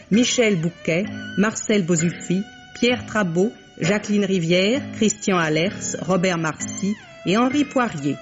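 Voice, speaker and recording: A man announces in formal 1950s radio style with a high-pitched voice. Music plays clearly behind him, and the recording is dull and dated.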